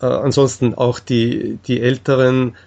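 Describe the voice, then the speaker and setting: A man answering in an interview, explaining things in a halting, measured way with frequent hesitations. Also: medium pitch, little pitch movement, gravelly, a little mellow.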